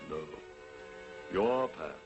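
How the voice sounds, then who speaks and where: An actor playing a solemn, stern spirit with a grave, measured, formal delivery in 1940s television drama. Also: male voice, low-pitched, animated, highs cut off, full character voice. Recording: old 1940s television soundtrack, loud music behind.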